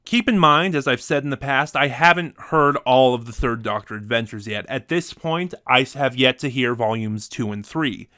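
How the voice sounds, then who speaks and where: A man speaks as a reviewer in a casual, conversational style. His delivery is a little halting, as if working out his thoughts aloud, and the sound is dry with little room in it. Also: mid-range voice, sharp tone, no echo. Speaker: reviewer ranking favorite audio dramas.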